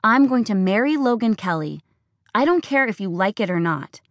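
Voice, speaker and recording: A woman's voice: medium pitch, expressive, normal speed, no echo, studio quality, pitched above the performer's own voice, close to an everyday voice. A woman voicing a defiant, tearful teenage farm girl who pleads and accuses. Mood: pleading, defiant.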